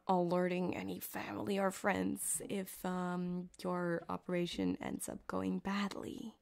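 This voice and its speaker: Yandere ripperdoc woman performer, ASMR roleplay: slow, soft, half-whispered, coy and quietly sadistic, close binaural mic. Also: medium pitch, creaky. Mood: coy, menacing. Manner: soft-spoken, often dropping to a whisper, halting and coy. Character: flirtatious, sadistic yandere female cyberpunk ripperdoc, sweetly menacing. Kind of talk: ASMR roleplay addressed to the listener. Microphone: close binaural microphone.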